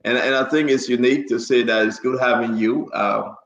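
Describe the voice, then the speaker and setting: A man's voice: mid-range voice, fairly even intonation, fairly quick, a bit bright, highs cut off. A man hosting a casual live talk show, often repeating phrases for emphasis. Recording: roomy, echoing sound.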